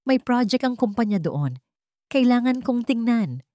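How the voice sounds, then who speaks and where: Woman voicing a curt, secretive husband, mellow. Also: a little high, very animated, measured pace, no room sound, studio quality, voice pushed up. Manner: curt, dismissive. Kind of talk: acted dialogue in an audio romance story.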